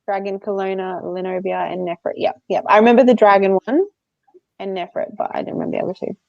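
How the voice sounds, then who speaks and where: A woman's voice: medium pitch, steady tone, fast, vocal fry. A woman co-hosting a book readalong live show in giggly, informal casual chat. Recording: dull, roomy lo-fi sound.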